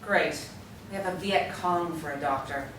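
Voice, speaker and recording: An actress playing a bitter, sharp-tongued, accusing mother in a dramatic script reading. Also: low-pitched, unhurried. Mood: hostile, worried. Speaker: actor in a staged script reading. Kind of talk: dramatic screenplay table read. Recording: echoey, distant, noisy room recording.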